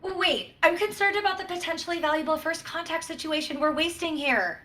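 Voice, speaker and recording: A prim, brainy spaceship science officer acted by a woman with a high-pitched voice. She is huffy, indignant and a bit pouty, in a comedic sci-fi play. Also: fairly quick, pushed and bright.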